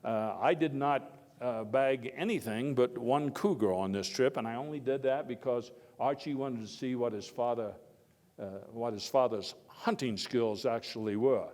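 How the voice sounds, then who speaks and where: A long hall reverb surrounds an actor as an elderly male statesman giving a dramatized historical speech. His pitch is middling, his delivery halting and conversational yet oratorical. He comes across as proud, folksy and full of opinions. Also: a little mellow, lightly acted. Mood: genial reminiscing. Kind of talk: live dramatized first-person historical speech. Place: indoor hall before a live audience.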